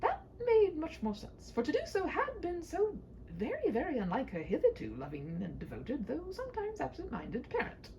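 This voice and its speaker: Woman reading a funny story aloud, super sing-song swings in pitch, whimsical, bit of background noise. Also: huge pitch swings, normal speed, vocal fry, a little mellow. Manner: whimsical, conversational asides. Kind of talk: expressive read-aloud of a humorous story.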